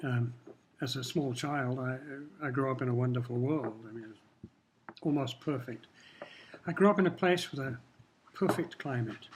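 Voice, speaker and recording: An older man from Kenya whose first language was Swahili, speaking in a measured, reflective way while answering questions in an interview. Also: mid-range voice, slight background noise, low-quality recording. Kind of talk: casual interview talk.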